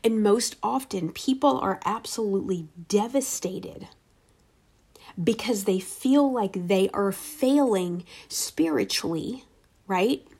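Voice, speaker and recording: A woman's voice: mid-range voice, slow pace, darkish tone, husky. Congested woman hosting a faith podcast. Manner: conversational, frequent "right?" and "okay?". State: congested, nasal. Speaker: podcast host. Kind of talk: casual faith-based talk.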